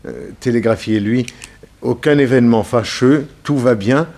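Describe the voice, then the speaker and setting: An actor plays a well-off gentleman in a vintage radio whodunit. His mid-pitched voice is excitable and exclamatory, often hesitating. He comes across as trusting and stubbornly naive, quick to protest. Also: lightly acted. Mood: subdued. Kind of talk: 1960s French radio mystery serial acting.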